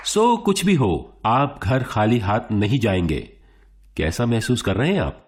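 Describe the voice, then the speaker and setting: Showy male quiz show host, lively delivery. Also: mid-range voice, noisy background. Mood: showy, polite. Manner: formal, theatrical presenter delivery with dramatic pauses. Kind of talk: radio play quiz show presenting.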